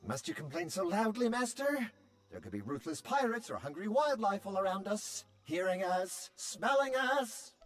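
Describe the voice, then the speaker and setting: Casting: anxious, cowardly servant droid for a game; very high, animated, fussy delivery. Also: male voice, normal speed. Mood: fretful complaining. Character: fretful, cowardly, servile droid sidekick. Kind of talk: video game voice acting.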